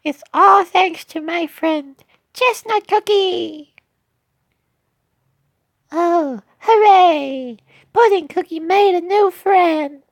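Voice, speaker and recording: A woman voicing a sweet, excitable young cookie child who has been poor and lonely, earnest and gushing in a story read aloud. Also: extremely high pitch, drawn-out words, pauses often, no room sound, clean studio sound, heavily acted. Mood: cheerful, friendly.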